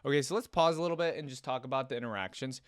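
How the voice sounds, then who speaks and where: A man's voice: medium pitch, rapid-fire, no room sound, clean studio sound. A man hosting a reaction video, talking in a casual, enthusiastic and rambling way.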